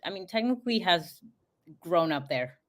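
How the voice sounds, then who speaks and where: A woman's voice: on the low side, expressive, normal speed, fairly bright tone. A young woman from Peru chatting as a podcast guest, full of fillers like "you know" and "like". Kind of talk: casual interview chat.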